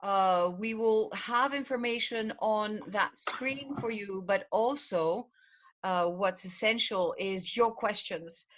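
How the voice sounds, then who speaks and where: A woman's voice: mid-range voice, takes it slowly, fairly bright tone. A middle-aged woman from Greece, a program director, presenting an online information session from home over a video call. She talks in a chatty way, with many fillers and laughs.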